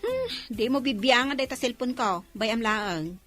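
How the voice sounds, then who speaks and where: A woman acting as a practical Ilocano village wife in a radio comedy. Her voice is fairly high and mildly irritated, with faint music behind it. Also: lively intonation, unhurried.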